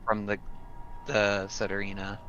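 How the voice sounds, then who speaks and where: A man's voice: fairly low pitch, flat delivery, a little slow, sharp tone, muffled recording, a little hiss or hum. A man playing a tabletop roleplaying game, chatting casually and a little tentatively about his character. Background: background music.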